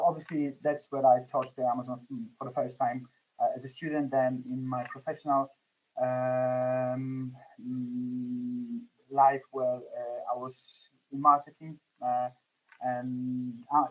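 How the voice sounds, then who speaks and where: Polish man, 40s, founder guest; monotone, hesitant, lo-fi audio. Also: mid-range voice. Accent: Polish, with some Scottish influence. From Poland. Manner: hesitant, many fillers and restarts. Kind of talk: podcast interview.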